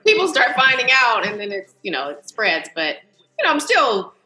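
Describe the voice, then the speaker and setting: An American woman in her early fifties chatting casually and animatedly on a video call, telling family anecdotes as a talkative podcast guest. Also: on the high side. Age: early 50s. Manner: animated, talkative, quick to laugh. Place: indoors, on a Zoom video call. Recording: roomy, echoey video call.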